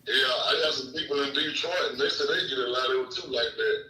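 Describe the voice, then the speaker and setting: Bright-voiced man in his forties. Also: mid-range voice, quick pace. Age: 40s. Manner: relaxed, repeats words while speaking. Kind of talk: casual call-in chat. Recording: roomy, distant, faint noise.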